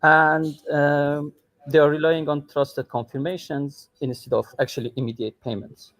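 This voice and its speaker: A man's voice: on the high side, flat delivery, normal speed, fairly bright tone, dry recording. A man from Iran speaking conversationally as a tech co-founder and guest on a livestream call. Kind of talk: conversational self-introduction on a livestream. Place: airport, remote call.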